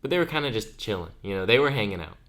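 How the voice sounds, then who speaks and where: Deep-voiced young man, chatty and laughing. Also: very animated, rapid-fire, fairly bright tone. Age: under 20. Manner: chatty, laughs while telling stories. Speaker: podcast co-host. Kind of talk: casual chat.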